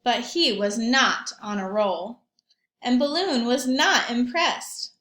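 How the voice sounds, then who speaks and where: A woman with a fairly high voice reads a picture book to young children at a slow pace. She is expressive and uses simple phrasing, in a roomy, echoing space. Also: background noise. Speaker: teacher reading aloud to young children. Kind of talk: storybook read-aloud with questions and comments. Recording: roomy echo.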